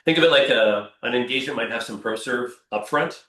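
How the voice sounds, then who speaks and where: A man's voice: mid-range voice, a little fast, a bit bright. A man who founded a robotics company, talking with energy and emphasis and often repeating his words. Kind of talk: panel discussion answer. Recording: echoey room, off-mic.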